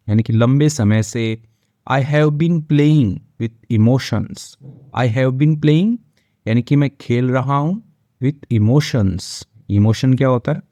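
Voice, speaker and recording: A man's voice: mid-range voice, warm tone, dry recording. An Indian-accented man teaching English, reading a story line by line and explaining it in colloquial asides.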